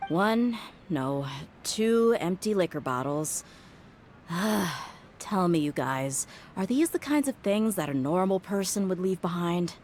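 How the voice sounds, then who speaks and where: A blunt, deep-voiced mercenary. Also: female voice, slight background noise. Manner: blunt, wry, sighing. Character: tough, blunt young female mercenary, wry and exasperated. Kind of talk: game voice acting.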